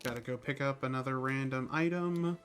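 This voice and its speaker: A man's voice: medium pitch, brisk, fairly bright tone. A man livestreaming a game, giving casual commentary with wry, amused asides. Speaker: livestreamer, speedrunner. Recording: game music clearly behind.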